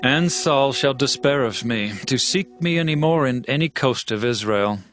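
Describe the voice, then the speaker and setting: A man playing a wary, resolute fugitive warrior in a dramatized scripture recording, declaiming in a brooding, deliberate way. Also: mid-range voice, animated, fairly bright tone, close to an everyday voice. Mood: brooding resolve. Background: music.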